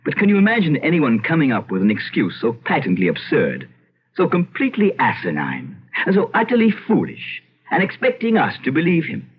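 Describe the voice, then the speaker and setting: An actor playing a pompous Irish gentleman landowner, emphatic and often interrupting himself, in an old-time radio drama. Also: a little high, huge pitch swings, brisk, slightly rough, full character voice. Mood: indignant. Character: blustery Irish gentleman landowner, imperious, skeptical yet uneasy. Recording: muffled, hissy old radio recording.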